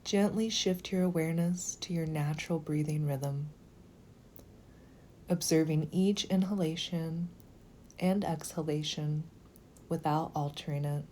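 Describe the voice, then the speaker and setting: A woman leading a guided meditation in a slow, gentle, soothing manner, with phrases sometimes softening toward a whisper. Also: deep voice, steady tone, a little hiss or hum.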